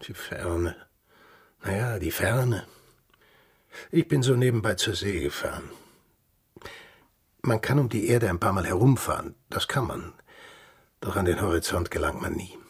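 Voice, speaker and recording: A jaded sailor, deep croaky rasp, sardonic, tired of the world, radio drama. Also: male voice, animated, measured pace, pauses often, full character voice. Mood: resigned. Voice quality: very raspy, gravelly. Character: cynical, world-weary former seaman. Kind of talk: literary radio drama acting.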